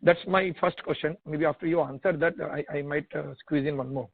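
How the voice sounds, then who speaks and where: Male analyst, Indian accent, slightly high and husky, stumbling repetitive questioning over narrowband phone. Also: fairly even intonation. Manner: halting, repetitive, stammers over repeated words. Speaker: analyst asking questions on an earnings call. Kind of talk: spontaneous questioning on a conference call. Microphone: telephone conference call. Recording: tinny narrowband phone line.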